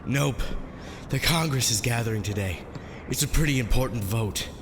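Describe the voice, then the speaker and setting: A man's voice: medium pitch, normal speed, lightly acted. A man playing a harried, wry general turned politician in a sci-fi audio drama, casual and exasperated in manner. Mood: hurried, exasperated. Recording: long hall reverb, noisy ambience behind.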